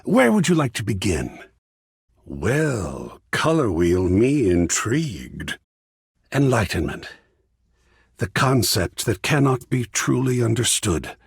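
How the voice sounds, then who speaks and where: A swooping, theatrical art aficionado. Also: male voice, fairly low pitch, sing-song, gravelly, husky, no room sound, clean studio sound, full character voice. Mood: delighted, intrigued. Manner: florid, theatrical, dramatic pauses and exclamations. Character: effusive, refined male art aficionado, tender and theatrical. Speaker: video game voice actor. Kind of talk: theatrical video game character dialogue.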